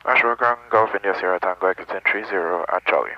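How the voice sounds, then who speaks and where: A man's voice: sits low, very fast. A man flying as a pilot, reading back tower instructions in clipped phrases over aircraft radio. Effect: aviation radio. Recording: muffled, crackly aircraft radio.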